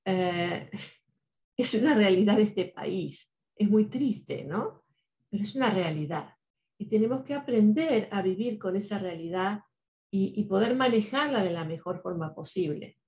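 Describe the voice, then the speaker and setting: A woman's voice: medium pitch, lively intonation, a little slow, a little mellow. A woman whose first language is Spanish, speaking earnestly in a reflective podcast conversation, often adding "¿no?" and "eh". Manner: earnest, speaks with many "¿no?" tags and "eh" fillers.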